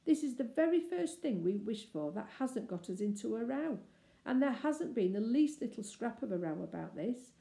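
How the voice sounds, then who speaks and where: An earnest, kindly storybook girl, voiced by a woman reader. She is thoughtful and polite, with a soft-edged mellow tone and audible room echo. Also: a little high, big pitch swings, moderate pace, vocal fry, pitched above the performer's own voice. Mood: earnest, relieved.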